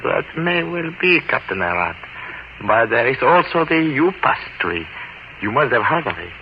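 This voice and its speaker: A man's voice: medium pitch, big pitch swings, normal speed, a little hiss or hum, natural voice. An actor playing a small, elderly, pompous Spanish nobleman with formal speech, in an old-time radio adventure on a muffled recording. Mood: cautious.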